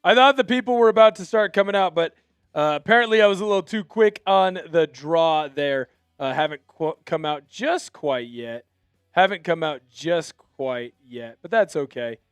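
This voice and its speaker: A man's voice: on the high side, lively intonation, normal speed, smooth, no echo, faint music behind. A man co-hosting a live sports stream, talking casually and hyped up while doing jumping jacks. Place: sports media studio.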